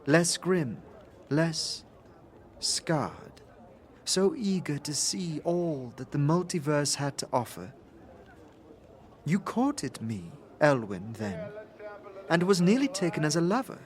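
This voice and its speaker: A man playing a long-lived, mysterious female being in a fantasy audio drama, speaking in a formal, halting, wistful and guarded way. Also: fairly high pitch, big pitch swings, slight background noise. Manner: stately, halting, formal, interjecting own name.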